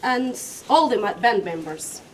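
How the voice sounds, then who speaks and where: A woman's voice: mid-range voice, expressive. A young woman from Argentina, speaking non-natively, introducing an art exhibition with hesitant, filler-heavy speech. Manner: hesitant, many fillers, slow. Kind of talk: casual talk at an exhibition. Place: exhibition hall with people around. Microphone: far, room mic. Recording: distant voice, noisy hall ambience.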